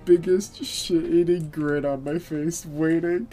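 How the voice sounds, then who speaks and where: A man's voice: on the high side, fairly even intonation, takes it slowly, mellow. A young man with an American accent chatting casually on a gaming livestream, joking and self-deprecating. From United States. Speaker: live streamer. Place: streamer's own mic over game audio. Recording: game music under the voice.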